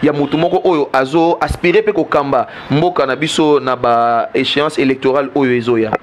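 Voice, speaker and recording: A man's voice: medium pitch, fairly even intonation, brisk, a little hiss or hum. A Congolese man hosting a political interview, putting long, hesitant questions to his guest. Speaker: host, interviewer.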